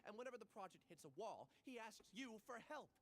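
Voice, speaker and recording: An earnest, indignant young scholar. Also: male voice, high voice, very animated, brisk, fairly bright tone, a little hiss or hum. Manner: earnest, protesting.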